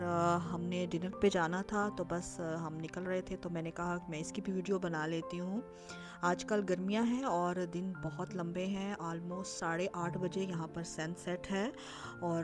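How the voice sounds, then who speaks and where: A woman narrating her cooking and dinner-outing vlog in a chatty, explanatory way, with frequent filler sounds. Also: fairly low pitch. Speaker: lifestyle vlogger. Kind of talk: casual recipe and travel vlog voice-over. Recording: clear music bed behind voice.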